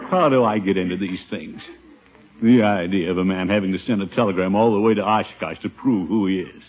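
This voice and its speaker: An actor playing a flustered, blustering middle-aged American uncle in 1950s radio comedy, stammering and indignant, with nervous chuckles. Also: male voice, deep voice, a little fast, a faint crowd, a little hiss or hum, natural voice. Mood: worried, grumbling. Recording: tinny old 1950s radio recording.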